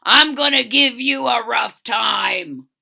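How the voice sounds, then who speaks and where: Woman acting out a loud, blustering storybook rhinoceros bully. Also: on the high side, slow pace, gravelly, heavily acted. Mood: gruff, menacing. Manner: loud, blustering, exaggerated delivery. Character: very large male rhinoceros, unkind tough-guy bully dissolving into giggles.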